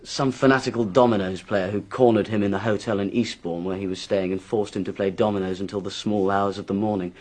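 An actor playing a brisk, blunt English police inspector in a radio drama, confident and emphatic, with a gruff, husky voice. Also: male voice, fairly low pitch, steady tone, a little mellow, close to an everyday voice. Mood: wry, brisk.

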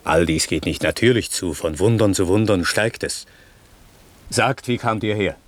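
A man's voice: low voice, normal speed. A man playing a noble older king and father, full of wonder, declaiming verse solemnly in a classic radio play. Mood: awed.

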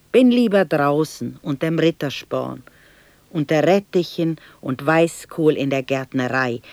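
An actress playing an aging, homesick widowed mother from Bohemia in a 1960s German radio drama monologue, reminiscing wistfully and anxiously in hushed, halting fragments. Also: low voice, no echo, heavily acted. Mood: wistful, plain. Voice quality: husky, breathy, creaky.

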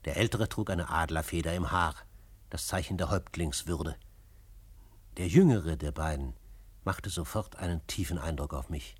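Deep, husky reflective storyteller. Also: male voice, moderate pace. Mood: admiring. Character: reflective first-person narrator recalling Western adventures. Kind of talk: scripted radio drama narration.

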